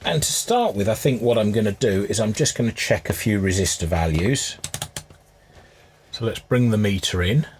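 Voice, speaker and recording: A man with a husky voice giving casual, unscripted hands-on repair commentary, musing aloud as he works. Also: fairly low pitch, lively intonation, moderate pace, slight background noise. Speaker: hobbyist restorer presenting his repair.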